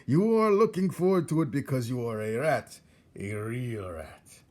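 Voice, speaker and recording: A man's voice: medium pitch, expressive, a little slow, a little mellow, voice pushed up, natural voice. Man voicing a gleefully cruel old rat, emphatic and lifted. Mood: cruel delight. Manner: lecturing, relishing each word with emphatic stresses. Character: very old, gleefully cruel one-eared male dungeon rat.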